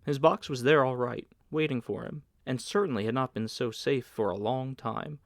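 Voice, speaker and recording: A man's voice: mid-range voice, normal speed, vocal fry, clean studio sound. Male audiobook narrator for literary fiction, mid-range voice, scripted read, no room reverb.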